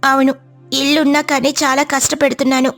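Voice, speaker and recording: Woman as gentle high-voiced mother sparrow. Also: little pitch movement, fast, faint music behind. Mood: remorseful. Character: good-natured mother sparrow, apologetic reformed idler.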